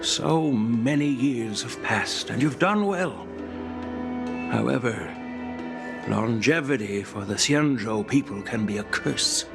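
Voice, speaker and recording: A shrewd old general from a video game, speaks slowly and with weight. His pitch rises and falls widely as he turns from measured counsel to wry teasing. Clear music plays behind him. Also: animated. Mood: grave, measured. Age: older. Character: elderly male general, shrewd, wry grandfather.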